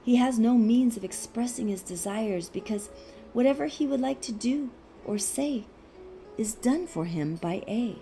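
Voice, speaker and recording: A woman reading a philosophical text aloud as scripted narration, in a measured, deliberate way with pauses. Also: fairly high pitch, mellow, soft background music. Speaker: audiobook reader.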